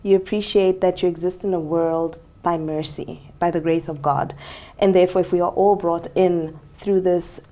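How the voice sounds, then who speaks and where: A woman from Zambia talks as a guest on an interview show. Her voice is somewhat low and mellow. She speaks reflectively and expressively, with frequent fillers. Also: steady tone, normal speed.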